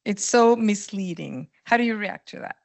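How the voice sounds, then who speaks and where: A woman's voice: mid-range voice, expressive, normal speed, vocal fry, dry recording. A woman moderating a panel, reading out an audience question in a wry way.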